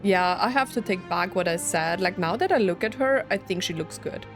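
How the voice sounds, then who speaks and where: A woman's voice: lowish voice, animated, normal speed. A young adult woman giving casual let's play commentary, chatty and thinking aloud as she goes. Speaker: game streamer. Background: game music.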